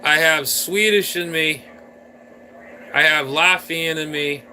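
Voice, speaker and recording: A man's voice: a little high, fairly even intonation, slow, sharp tone, slight background noise, poor audio. A man hosting a casual live stream, rambling playfully and talking straight to his viewers from a room indoors.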